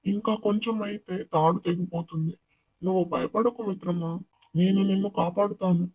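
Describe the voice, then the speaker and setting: Woman voicing a husky, earnest little ant. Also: medium pitch, fairly even intonation, moderate pace, dry recording, highs cut off, voice pushed down, close to an everyday voice. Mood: determined. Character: tiny hardworking ant, brave and loyal friend. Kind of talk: children's animated folktale character.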